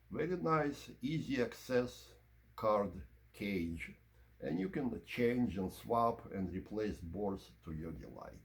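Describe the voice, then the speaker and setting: A man presenting an informal product review and demonstration, explaining in measured, short broken phrases. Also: medium pitch, vocal fry. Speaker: presenter of audio equipment review.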